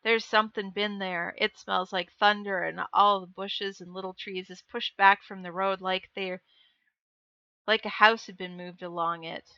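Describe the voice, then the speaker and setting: A frightened country hired boy acted out by a woman reading a storybook tells his tale in rough rustic dialect. The voice is bright and clear. The recording is dry and a little dull. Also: medium pitch, fairly even intonation, normal speed, dry recording, pitched below the performer's own voice. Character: frightened uneducated rural hired farm boy.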